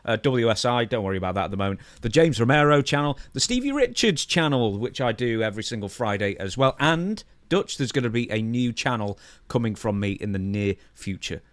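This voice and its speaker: A man's voice: medium pitch, sing-song, a little fast, no echo. A man co-hosting a podcast in casual chat, with dry, teasing banter as he runs through the show. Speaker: podcast co-host.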